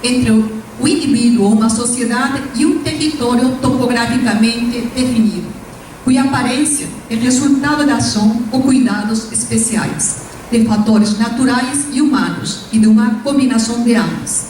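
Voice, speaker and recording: A woman with a Peruvian Spanish accent gives an academic presentation in a measured, formal way, stopping now and then on Spanish-sounding fillers. Her somewhat high voice comes from away from the microphone. It rings through a very echoey hall, with a faint hiss behind it. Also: a little mellow, far from the mic. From Peru. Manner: formal, measured lecturing with Spanish-tinged hesitations. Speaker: invited speaker, professor. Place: lecture hall.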